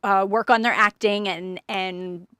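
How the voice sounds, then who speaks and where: A woman co-hosting a show, chatting casually and upbeat, quick to laugh. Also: mid-range voice, fairly even intonation, pushed and bright. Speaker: podcast co-host. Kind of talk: casual chat.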